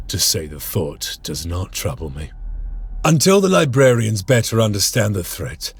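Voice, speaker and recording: Gravelly, stern space marine commander. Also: male voice, fairly low pitch, exaggerated voice. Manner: formal, resolute, weighty. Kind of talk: dramatic video game cutscene acting.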